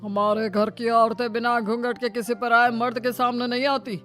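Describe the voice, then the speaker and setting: A woman voicing a conservative, admonishing village man in an animated family story. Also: a little high, normal speed, faint music behind, lightly acted. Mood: scolding. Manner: admonishing, insistent. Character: conservative village husband and stern menfolk, scolding and pleading.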